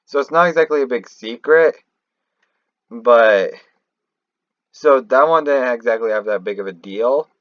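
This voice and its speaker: A man giving unscripted commentary on game lore in a halting, rambling way, often restarting mid-thought. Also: mid-range voice, a bit bright, no room sound, dull audio. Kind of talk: unscripted theorizing commentary over a screen recording.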